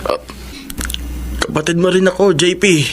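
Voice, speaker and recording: A man acts out a meek young stepson in a radio drama. The voice is fairly high, hesitant and polite, with noticeable noise behind it. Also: steady tone, moderate pace, lightly acted. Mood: weary, timid.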